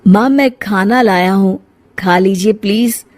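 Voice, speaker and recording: Storybook role: caring grown stepson, softly persistent, pleading with his mother woman performing. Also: medium pitch, unhurried, close to an everyday voice. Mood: coaxing. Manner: coaxing.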